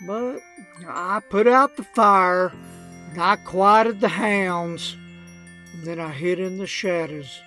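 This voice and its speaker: A woman voicing a crusty, wryly unrepentant old redneck man, telling a comic rhymed storybook tale in a folksy, drawn-out way. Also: lowish voice, fairly bright tone. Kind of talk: comedic rhymed storybook narration.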